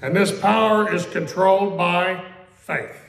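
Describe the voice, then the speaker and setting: A pastor preaching a conversational sermon in a church, asking questions, repeating them and quoting scripture slowly. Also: male voice, sits high, a bit bright. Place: church sanctuary. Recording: echoey church room.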